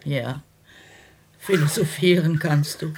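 Radio drama: sardonic middle-aged wife, exasperated yet caring, scolding, slight background noise. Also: female voice, sits low, takes it slowly, darkish tone. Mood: dry, insistent. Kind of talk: naturalistic radio drama dialogue.

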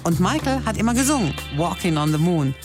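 A woman presenting a radio music feature, telling personal stories in a lively, chatty way. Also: lowish voice, a little fast. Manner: lively storytelling, chatty asides. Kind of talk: personal scripted narration.

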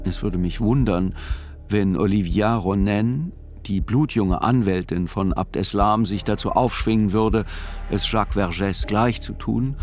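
A man giving scripted first-person literary narration in a radio play, measured and reflective. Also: lowish voice, darkish tone, soft background music.

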